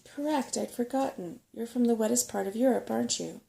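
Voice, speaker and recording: A woman's voice: fairly high pitch, fairly quick, vocal fry, warm tone. A woman voicing a fussy, chatty, know-it-all old male rat in a storybook reading, with emphatic delivery. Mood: brisk, knowing.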